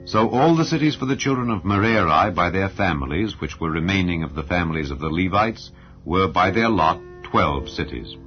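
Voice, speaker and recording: Male audiobook narrator, deep register, formal declamatory scripture read, dull lo-fi sound. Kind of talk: solemn scripted scripture reading. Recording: dull lo-fi audio over clear music. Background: background music.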